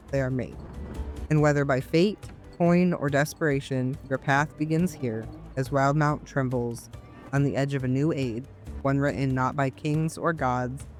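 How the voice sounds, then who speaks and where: Game master guy telling the story all dramatic, kinda high voice, music faint behind. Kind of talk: narrating a tabletop game.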